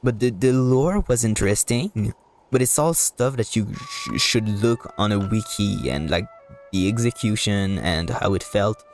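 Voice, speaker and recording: A man giving casual live commentary as a game streamer, rambling and halting as he repeats words. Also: on the low side, animated, faint music behind.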